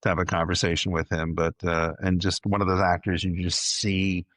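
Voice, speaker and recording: A low-pitched man hosts a casual fan podcast with effusive, emphatic delivery. He doubles up words to hammer a point home. The sound is close and dry, with little room around it. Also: level tone, brisk, a bit bright, dry recording. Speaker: podcast host.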